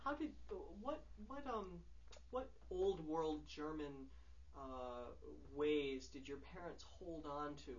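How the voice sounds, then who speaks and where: A man's voice: on the high side, animated, slow pace, muffled recording. A man interviewing for an oral history tape, asking questions and clarifying spellings for the record.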